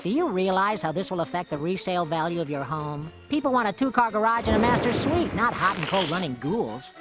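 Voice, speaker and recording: A man's voice: high-pitched, lively intonation, brisk. A man voicing a cocky, glib, wisecracking young creature in a cartoon game. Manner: glib salesman patter. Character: fast-talking wisecracking young male cartoon creature, cocky big brother. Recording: loud music behind the voice.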